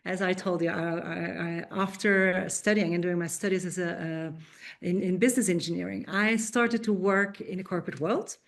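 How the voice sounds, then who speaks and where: A woman's voice: fairly low pitch, steady tone, normal speed. A woman in her fifties from Belgium, a coach telling her story in a podcast interview, friendly with small restarts. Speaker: guest, coach and speaker.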